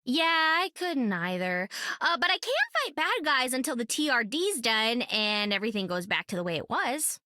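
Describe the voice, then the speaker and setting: A bubbly, high-pitched squirrel heroine. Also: female voice, normal speed, few pauses, no room sound, clean studio sound. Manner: rambling, excitable, apologetic. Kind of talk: video game voice acting.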